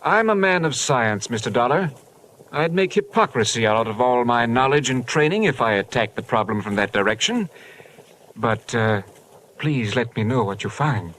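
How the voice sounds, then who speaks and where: A man's voice: mid-range voice, expressive, moderate pace, fairly bright tone, lightly acted, low-quality recording. A man playing an earnest, rational doctor with a precise, formal way of speaking in an old radio drama. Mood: troubled, earnest. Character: earnest, rational male doctor, worried about brother. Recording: old radio recording, faint noise.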